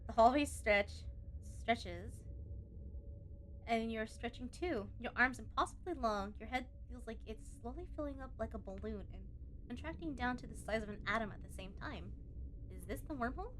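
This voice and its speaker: A woman with a fairly high-pitched voice plays through a game for viewers. She reads the story text aloud expressively and breaks off for chatty, playful asides. There is light noise behind her voice. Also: normal speed, a bit bright, low-quality recording. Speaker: game streamer. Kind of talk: live let's-play commentary, reading game text aloud.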